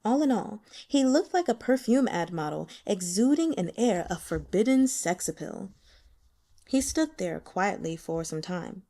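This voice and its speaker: Woman reading an audiobook, medium pitch, expressive storyteller delivery. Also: a little slow. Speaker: audiobook reader. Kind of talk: scripted narration of a novel.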